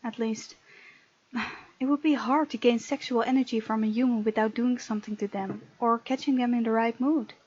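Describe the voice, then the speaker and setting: A woman's voice: on the high side, fairly even intonation, normal speed, a little mellow. A woman hosting a gaming video, commenting as she plays and reads a visual novel aloud. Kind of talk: let's play commentary and dramatic reading aloud. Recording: muffled lo-fi mic, music underneath. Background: game music.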